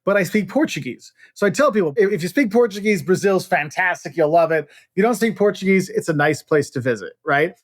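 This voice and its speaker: A man's voice: sits high, a little fast, hardly any pauses, no room sound, studio quality. A middle-aged American man chatting casually as an interview guest, telling stories and acting out bits of dialogue. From Illinois, United States. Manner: animated storytelling, acting out quoted dialogue. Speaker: guest, travel expert. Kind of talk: casual interview chat with anecdotes.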